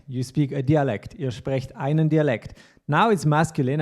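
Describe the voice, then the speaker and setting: A man giving an online German lesson, explaining grammar plainly and reading slow model sentences, each said twice. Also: medium pitch, a little mellow. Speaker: German teacher.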